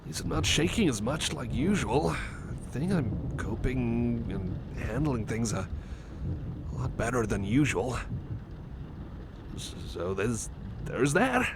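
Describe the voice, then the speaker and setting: A man's voice: medium pitch, lively intonation, slow, creaky, pauses often, background noise. A man voicing a shy, anxious, affectionate young male cyborg friend, stammering and apologetic in an intimate ASMR roleplay. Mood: nervous, shaky. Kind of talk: ASMR roleplay, intimate one-sided chat.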